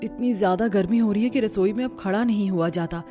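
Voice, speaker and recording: A woman voicing expressive young daughters-in-law in a Hindi cartoon story, theatrical in delivery. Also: mid-range voice, fairly quick, soft background music, lightly acted. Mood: weary. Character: young working sisters-in-law, lively and put-upon housewives.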